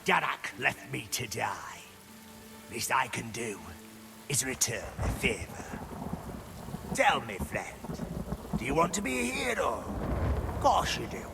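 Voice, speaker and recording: An actor playing a sly, taunting rebel prisoner who schemes and wheedles in a video game. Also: male voice, medium pitch, big pitch swings, slow pace, creaky, pauses often, full character voice. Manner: mocking, insinuating, wheedling. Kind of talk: video game character acting.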